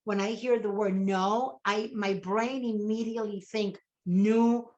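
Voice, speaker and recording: A woman's voice: mid-range voice, fairly even intonation, a little slow, darkish tone, muffled recording. A middle-aged woman with a Puerto Rican Spanish accent, whose first language is Spanish, giving an enthusiastic motivational talk to a business team and often adding "okay?". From Puerto Rico. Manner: enthusiastic, repeats words and tags "okay?". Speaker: network marketing director.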